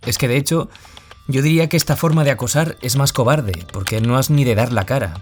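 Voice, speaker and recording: A man's voice: medium pitch, natural voice. A man with a Peninsular Spanish accent playing an earnest, reflective author who survived bullying, in a scripted radio interview. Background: music.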